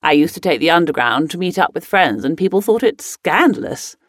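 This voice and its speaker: Posh lady adventurer reminiscing, genteel but headstrong, lowish voice, really dry close recording. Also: expressive, fairly quick, no echo. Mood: spirited, frustrated. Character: spirited, headstrong upper-class English lady explorer looking back. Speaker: actor reading a monologue. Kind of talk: scripted first-person dramatic monologue.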